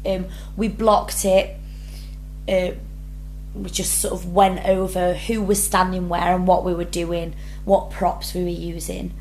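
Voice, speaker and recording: A woman's voice: fairly low pitch, level tone, measured pace, darkish tone, slight background noise, poor audio. A young woman drama student, talking earnestly through her rehearsal blog and reading each question aloud before answering. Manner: earnest, many ums, reads prompts then answers.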